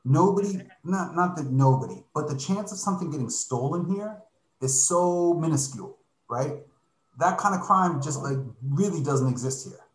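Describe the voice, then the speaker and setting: A man in casual conversation, explaining things in a relaxed way, often adding "like" and "right?". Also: a little high, normal speed. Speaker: podcast guest living abroad. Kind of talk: casual chat. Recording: echoey room.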